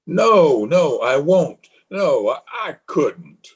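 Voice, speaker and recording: Philandering husband, played by a man, bitter and weak-willed: husky voice, widely swinging intonation, old-time radio melodrama. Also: on the high side, sing-song, takes it slowly, heavily acted. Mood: shocked, desperate. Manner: melodramatic, agitated. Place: remote recording over Zoom.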